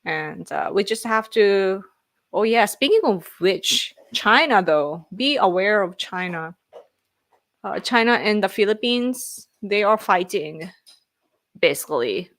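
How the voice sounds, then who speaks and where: Japanese lady streaming, slow and rambly, chats with viewers, laughs a lot. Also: mid-range voice, lively intonation, fairly bright tone. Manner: rambling, reads chat aloud, laughs often. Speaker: livestream host. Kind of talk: casual live stream chat.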